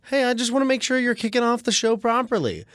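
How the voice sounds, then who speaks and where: A man's voice: very high-pitched, animated, quick pace, a bit bright, dry recording. A man co-hosting a radio show in scripted comedic banter, nitpicking and delivering dry quips.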